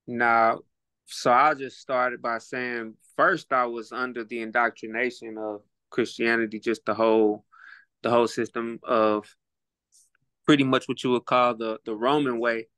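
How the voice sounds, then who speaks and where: A man's voice: medium pitch, level tone, a little slow, a bit bright, smooth, dry recording. A man with an Oklahoma accent, talking as a guest on a casual podcast in a laid-back, reflective way, repeating words while he thinks. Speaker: guest, rapper and boxer.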